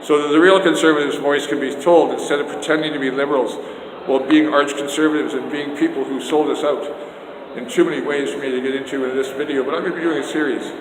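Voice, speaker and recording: An older Canadian man vlogging about politics, talking loudly and emphatically, often indignant, and recording himself on a phone on a selfie stick. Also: a little high, steady tone, fast. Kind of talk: rambling political vlog. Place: outdoors then indoor stairs and hallway. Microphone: handheld phone on a selfie stick. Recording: echoey hallway with long reverb and noise.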